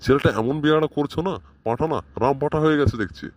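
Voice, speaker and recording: A man's voice: fairly high pitch, fast talker, darkish tone, natural voice. Man as a blustering cartoon village father. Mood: mocking, grumbling. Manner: blustering, grumbling. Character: irate, blustering pot-bellied village father in a comedy cartoon. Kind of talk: comedy cartoon dialogue.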